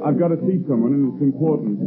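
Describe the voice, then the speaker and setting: An upset, evasive young rich fellow played by a man in an old radio drama. His medium-pitched voice comes through thin vintage sound with music behind. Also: fast talker, close to an everyday voice. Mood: agitated. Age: young adult. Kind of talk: 1930s radio drama acting. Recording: narrow-band 1930s radio recording.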